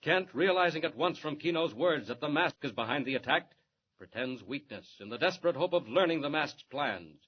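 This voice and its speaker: A man's voice: on the high side, expressive, brisk. A man narrating a 1940s radio serial with urgent, dramatic delivery. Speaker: announcer and narrator. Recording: muffled old radio recording with hiss.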